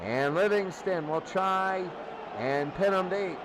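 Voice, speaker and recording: A man calling live American football play by play in an excitable, exclaiming manner. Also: high voice, slow pace, over a noisy crowd. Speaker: play-by-play announcer. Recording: clearly noisy recording.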